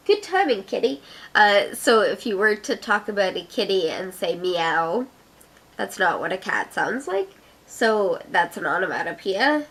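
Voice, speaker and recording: A woman's voice: medium pitch, a bit bright. A young woman from Canada with an Ottawa accent, chatting through an accent challenge and reading her own fiction aloud in a giggly way. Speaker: vlogger.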